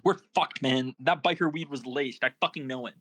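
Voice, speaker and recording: A man's voice: mid-range voice, normal speed, close to an everyday voice. A man playing an anxious, cautious young stoner sidekick in a comedy script read. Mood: panicked. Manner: worried, animated, exclamatory. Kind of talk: comedy screenplay table read.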